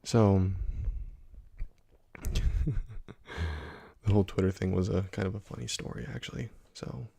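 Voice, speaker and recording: A young man hosting a gaming ASMR video, talking softly and slowly, often dropping to a whisper, with frequent ums. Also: deep voice, steady tone, gravelly, dry recording. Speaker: gaming ASMR channel host. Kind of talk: soft-spoken ASMR gameplay commentary.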